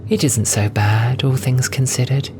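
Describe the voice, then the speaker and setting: A man voicing a gentle young female cat nun in an audio drama, soft and wistful, with earnest storytelling. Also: medium pitch, fairly even intonation, unhurried, background noise, pitched above the performer's own voice, close to an everyday voice. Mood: rueful, bitter. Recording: music bed under voice. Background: music.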